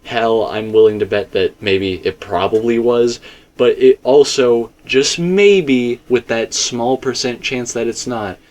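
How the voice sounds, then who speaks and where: A man's voice: mid-range voice, unhurried, darkish tone. A man hosting a casual, speculative discussion, talking in a rambling, stop-and-start way and often correcting himself. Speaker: host of a game theory discussion.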